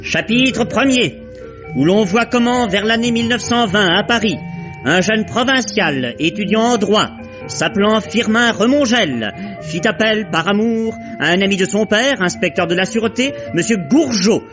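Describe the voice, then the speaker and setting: A man's voice: high-pitched, animated, normal speed. A man reading scripted chapter narration in the style of a mid-century French radio mystery. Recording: dull vintage radio recording. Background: music.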